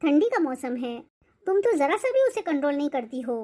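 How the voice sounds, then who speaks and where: A woman's voice: high voice, sing-song, normal speed, mellow. A woman voicing a nagging older mother-in-law in a cartoon family drama, with a complaining manner. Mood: irritated, complaining. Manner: scolding, complaining.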